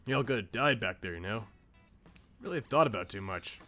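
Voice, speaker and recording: A man's voice: on the low side, animated, a little fast, pushed and bright, faint music behind. A man voicing a brash, insecure but loyal eighteen-year-old slacker in a visual novel, with blunt, casual slang. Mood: reflective. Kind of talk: visual novel character read aloud.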